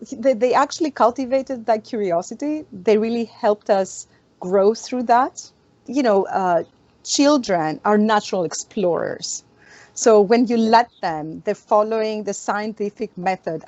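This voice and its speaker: A woman from Greece, an astronomer answering interview questions in an animated, storytelling way, often laughing. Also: mid-range voice, dry recording, lo-fi sound. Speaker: astronomer, guest. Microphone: video call.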